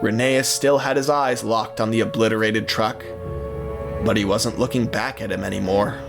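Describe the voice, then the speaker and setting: A man's voice: fairly low pitch, steady tone, moderate pace, vocal fry, music in the background. A man narrating an audio drama as a scripted story, with theatrical, suspenseful phrasing.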